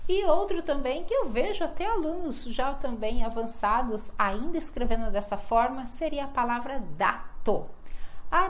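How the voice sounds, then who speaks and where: A Brazilian woman teaching Portuguese online, repeating each example sentence patiently. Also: fairly high pitch. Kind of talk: online language lesson.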